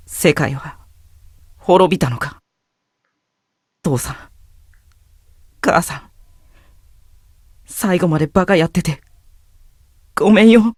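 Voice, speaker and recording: A man's voice: a little high, lively intonation, normal speed, pauses often, darkish tone, breathy edge, no room sound. A man voicing a gullible, melodramatic teenage schoolboy as the comic sidekick in a Japanese visual novel. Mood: tearfully solemn. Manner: melodramatic, swinging from shrieks to mournful murmurs.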